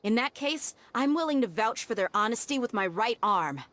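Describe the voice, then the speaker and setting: A bold, loyal mercenary woman from a video game. Her voice sits a little high, and she talks in a firm, blunt, level-headed way that challenges whoever she faces. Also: expressive, moderate pace, a bit bright, slight background noise. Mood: firm, persuading. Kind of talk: dramatic video game voice acting.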